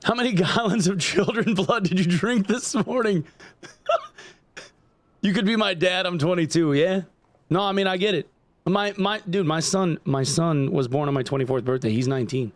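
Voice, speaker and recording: A man in his forties chats with his livestream audience while gaming, rambling and swearing freely with plenty of "like" and "dude". His voice sits a little high for a man. He sounds close and dry, with almost no room around him. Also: moderate pace, dry recording. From upstate New York. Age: 40s. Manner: loose, profane, rambling, heavy on "like" and "dude". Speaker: gaming streamer.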